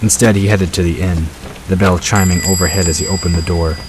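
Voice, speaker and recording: Very deep-voiced man narrating a story. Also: steady tone, fairly quick, background noise. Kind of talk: scripted audiobook-style narration. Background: rain and vehicle sound effects.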